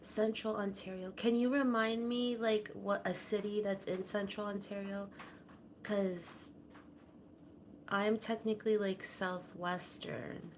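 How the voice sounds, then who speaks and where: A woman hosting a casual livestream from her home kitchen, talking softly and rambling, her sentences often trailing off quietly. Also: medium pitch, steady tone, slow pace, a little hiss or hum. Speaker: livestream host. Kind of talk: casual chat to viewers. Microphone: camera on a tripod.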